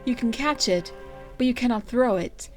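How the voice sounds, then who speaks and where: A woman's voice: a little high, normal speed, pitched above the performer's own voice, lightly acted. A wrinkled old hermit played by a woman, sly and eccentric, relishing every word of a storybook role. The delivery is emphatic and teasing, with gleeful relish and music underneath. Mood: manic glee.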